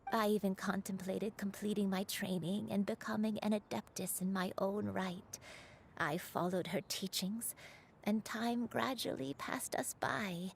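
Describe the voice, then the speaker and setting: An actress playing a gentle, remorseful old crane spirit in human form, telling a story in a measured, confessional way in a video game. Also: female voice, medium pitch, gravelly, slight background noise. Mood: wistful. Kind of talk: scripted video game voice acting, storytelling monologue.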